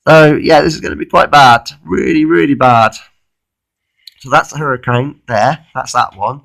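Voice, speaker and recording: A man of thirty-one, losing his voice with a cold, gives an informal, halting weather forecast commentary and often repeats himself. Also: mid-range voice, creaky, fairly bright tone. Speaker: amateur weather vlogger.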